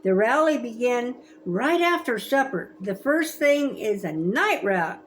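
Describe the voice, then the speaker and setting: A woman in her seventies reading a children's story aloud in a gentle, expressive, grandmotherly way. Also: on the high side, a little slow, slight background noise. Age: 70s. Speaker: storybook reader.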